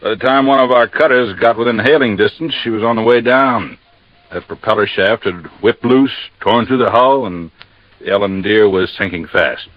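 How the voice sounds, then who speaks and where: An American actor playing a matter-of-fact, folksy Coast Guard captain explaining things in a 1950s radio drama. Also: male voice, lowish voice, moderate pace, no room sound, natural voice. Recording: dull, dry vintage radio recording.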